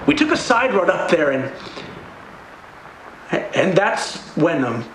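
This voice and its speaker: Casting: a man to play a shaken male musician giving horror testimony in a radio play; high-pitched, slow, repeating himself. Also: wildly swooping pitch, fairly bright tone, heavily acted. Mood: guarded, weary. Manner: halting, repeats phrases. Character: frightened touring musician recounting a horror under questioning. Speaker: actor in an audio play. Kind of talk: dramatic audio play monologue. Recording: roomy echo, faint background noise.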